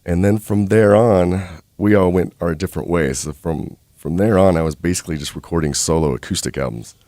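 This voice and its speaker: A man in his thirties with a deep speaking voice, a musician chatting easygoingly as a guest in a radio studio. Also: dark tone, no room sound. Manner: laid-back, easygoing and unhurried. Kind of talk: casual interview chat.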